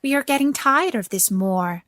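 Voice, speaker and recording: A woman's voice: high-pitched, animated, moderate pace, a bit bright, no room sound, pitched above the performer's own voice. A woman voicing restless, friendly young wild geese in a storybook tale, eager and inviting. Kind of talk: storybook character voice.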